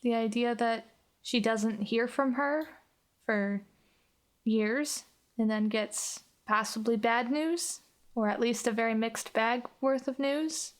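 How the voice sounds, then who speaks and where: Female actual-play podcaster, higher-than-average pitch, very slow measured improvised delivery.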